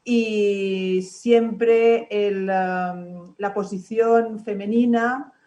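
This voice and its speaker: A woman panelist in an online discussion, earnest and halting, with many fillers. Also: medium pitch, fairly even intonation, mellow, muffled recording.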